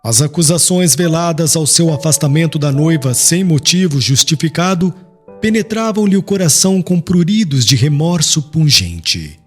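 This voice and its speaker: Husky, expressive male audiobook narrator. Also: fairly high pitch, moderate pace, soft background music. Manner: formal, dramatic. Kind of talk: scripted literary audiobook narration.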